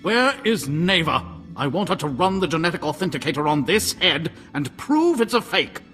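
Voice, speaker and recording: A man's voice: a little high, big pitch swings, normal speed, bright, forceful tone. Snooty male curator, haughty and indignant, wildly swooping intonation, game dialogue, music underneath. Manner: haughty, indignant, formal diction. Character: pompous, snooty male museum curator, easily outraged. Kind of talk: video game voice acting.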